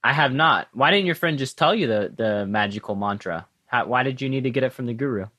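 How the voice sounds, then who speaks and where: A man hosting a casual podcast interview, speaking informally and reflectively with somewhat halting phrasing. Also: medium pitch, animated, bright, forceful tone, dry recording, studio quality. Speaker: podcast host.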